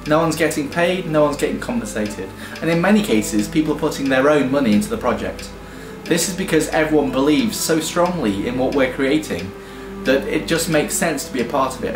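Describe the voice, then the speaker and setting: A British man pitching his game studio's project, talking enthusiastically with frequent ums and restarts. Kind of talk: earnest crowdfunding pitch. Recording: echoey room with faint music.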